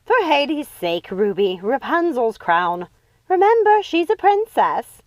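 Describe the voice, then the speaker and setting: A woman voicing a bossy, excitable witch sister in a storybook, emphatic and exasperated. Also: high-pitched, takes it slowly, dry recording, voice pushed up, exaggerated voice. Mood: urgent, snappy.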